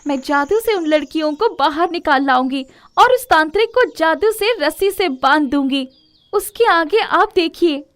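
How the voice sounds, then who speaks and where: A woman voicing a polite, earnest young fairy in a children's story, with formal, dramatic and expressive speech. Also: extremely high pitch, normal speed. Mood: urgent, confident.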